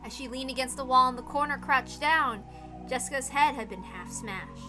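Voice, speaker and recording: A woman's voice: high-pitched, normal speed, over music. A woman narrating a visual novel as a dramatic scripted reading.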